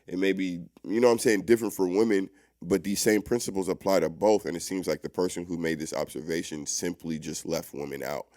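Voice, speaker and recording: A man with a notably deep voice argues on a casual podcast panel. He is emphatic and insistent, circling back to repeat his points among friends. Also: steady tone, a little fast, dark tone.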